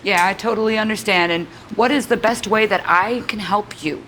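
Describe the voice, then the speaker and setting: A woman with a medium-pitched voice talks as an outreach worker in a role-play, informal and soothing. Some ambient noise sits behind her. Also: normal speed, fairly bright tone. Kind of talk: conversational de-escalation role-play. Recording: noticeable ambient background noise.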